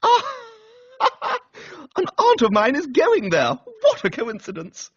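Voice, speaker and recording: A man voicing a cheerful, dim, excitable young upper-class English gentleman in a comic radio reading, chatty and slangy. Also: very high-pitched, slow pace, dry recording, dull audio, voice pushed up, full character voice.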